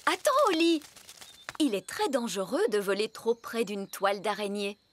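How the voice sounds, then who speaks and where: Woman as lively, proper grown-up fairy. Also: medium pitch, moderate pace, slight background noise, lightly acted. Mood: instructive. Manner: polite, explanatory.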